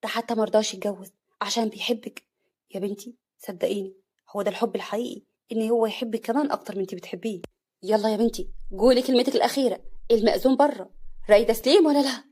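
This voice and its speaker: A woman voicing a gentle older father who coaxes his daughter. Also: fairly high pitch, racing, close to an everyday voice. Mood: tender. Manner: soothing, persuasive.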